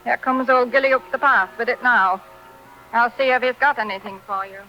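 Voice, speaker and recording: A woman's voice: fairly high pitch, fairly even intonation, a little fast, over music, lightly acted. An actress playing a blunt, rustic village innkeeper woman who gossips matter-of-factly, in 1940s radio acting style. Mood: matter-of-fact, grim. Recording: noisy, muffled 1940s radio recording.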